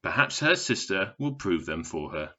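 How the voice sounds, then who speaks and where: A man's voice: low-pitched, very animated, moderate pace, pushed and bright, dull audio, heavily acted. An actor playing a formal male news reporter, giving scripted broadcast commentary in a polished announcer's manner. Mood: formal, reporting.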